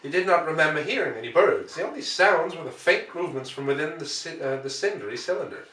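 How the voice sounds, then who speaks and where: A man reading a classic story aloud as scripted narration, with measured, deliberate phrasing. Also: fairly high pitch, expressive, pushed and bright. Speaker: audiobook reader. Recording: roomy, distant microphone.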